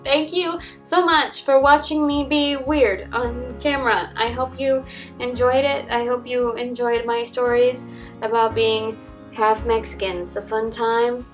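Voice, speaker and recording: A young American woman with a fairly high voice tells personal stories in an animated, casual vlog style. She sounds a little away from the mic, with soft music behind her. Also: measured pace. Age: young adult. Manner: animated, acts out quoted conversations. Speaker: vlogger telling personal stories. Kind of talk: casual chat to camera. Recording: distant mic, background music. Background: acoustic folk instrumental music.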